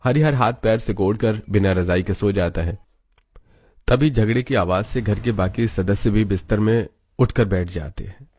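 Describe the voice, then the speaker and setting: Low-pitched man narrating a cartoon story. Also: moderate pace, a little mellow.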